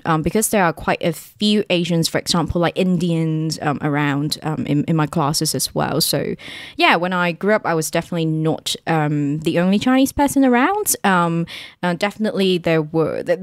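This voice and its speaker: Chatty low-voiced woman, very dry sound. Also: no room sound. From England. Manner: chatty, laughs often, many ums and restarts. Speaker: podcast guest, journalist. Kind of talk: casual interview chat.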